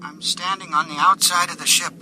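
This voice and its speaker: An actor playing a timid but brave spaceship passenger in a 1950s radio drama, speaking in halting, anxious phrases. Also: male voice, high voice, big pitch swings, gravelly, pushed and bright, heavily acted, poor audio. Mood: nervous. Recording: vintage 1950s radio recording, faint noise.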